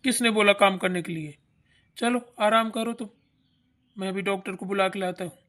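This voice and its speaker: A woman's voice: medium pitch, steady tone, normal speed, fairly bright tone, natural voice. A woman voicing a protective young husband and grown son in a family cartoon, firm and reproachful. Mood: concerned, insistent. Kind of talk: Hindi family cartoon acting.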